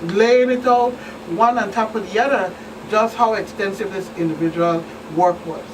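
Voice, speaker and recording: A woman with a Virgin Islands Caribbean accent explains things emphatically as a police guest on a talk show, often repeating phrases. Her voice is mid-pitched. She sounds distant from the microphone, with clear room noise behind her. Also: normal speed. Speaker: police commander, guest expert. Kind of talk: talk show interview, recounting cases. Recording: distant mic, noisy room hum.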